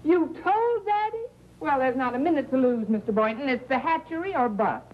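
A woman playing a dry, sardonic middle-aged high-school teacher who wisecracks in a 1950s sitcom. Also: animated, moderate pace, highs cut off. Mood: wry. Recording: noisy vintage soundtrack, audience behind.

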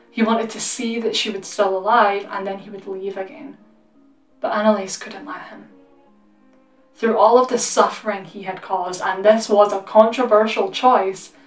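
A woman in her twenties telling a story casually as a vlog host. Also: mid-range voice. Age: 20s. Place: small room at home with costumes. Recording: roomy echo, distant microphone, music underneath.

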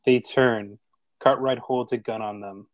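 A man's voice: medium pitch, fairly even intonation, unhurried, no room sound. A man narrating the stage directions of a screenplay at a table read.

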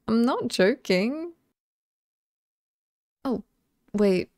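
A woman's voice: on the high side, animated, extremely slow, darkish tone, dry recording, clean studio sound. A British woman playing a cheerful, chatty slasher killer in an ASMR roleplay, teasing and casual with sweet menace. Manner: playful, casual, teasing, amused.

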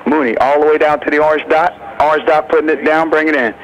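Higher-voiced male air traffic controller on radio. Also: steady tone, fast talker, highs cut off. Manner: repetitive, directive, addresses pilots informally. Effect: aviation radio. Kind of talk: rapid radio traffic instructions. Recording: aviation radio with chatter behind.

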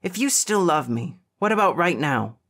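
A man's voice: medium pitch, unhurried, a bit bright. A man voicing an earnest, emotional teenage boy, anxious yet defiant, pleading and hesitant in an audiobook.

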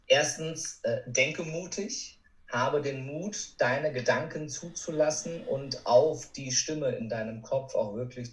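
Man in roomy, distant-mic interview. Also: mid-range voice. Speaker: podcast guest, motivational coach. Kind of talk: conversational interview answers.

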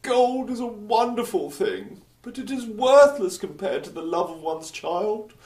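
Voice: medium pitch, expressive, measured pace, a little mellow, lightly acted. Performer doing a wailing, theatrical old king. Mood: pleading. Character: greedy old king, emotional and dramatic. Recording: roomy echo.

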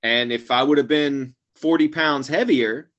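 Man, forties, guest on a video call, casual rambling chat, home room, mid pitch. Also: unhurried, lo-fi sound. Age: 40s. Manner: rambling, trailing off quietly at ends of phrases. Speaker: guest, actor and comedian. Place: small room at home, indoors. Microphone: webcam video call.

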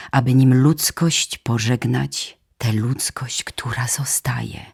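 A woman with a low, husky, breathy voice reads poetry in a solemn, dramatic way, phrasing deliberately in short measured lines. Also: a little mellow. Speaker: poetry reader. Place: radio theatre studio.

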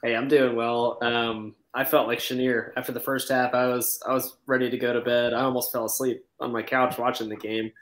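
Male panelist, thirties, mid-range voice, relaxed sports chat, roomy echo on a video call. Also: medium pitch, a little fast, few pauses. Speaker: podcast panelist. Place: indoor room at home. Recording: echoey room on video call.

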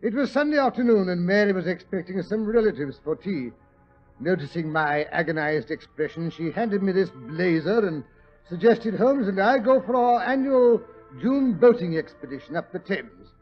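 A man's voice: sits high, expressive, normal speed, faint music behind. An actor playing a genial, stout older British married man who grumbles and loves his comfort, huffing and stammering in 1940s radio style. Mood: genial, reminiscing. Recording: dull, muffled old radio recording.

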